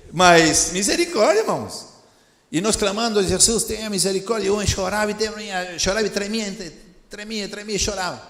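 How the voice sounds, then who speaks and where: A man preaching in a church, his first language Spanish and his Portuguese carrying a Spanish accent, who tells a story to the congregation and acts out the dialogue. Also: high voice. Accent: Spanish-speaking. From Latin America. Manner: animated, acts out dialogue, addresses congregation directly. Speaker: missionary preacher. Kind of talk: storytelling sermon. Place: church sanctuary. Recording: echoey church hall.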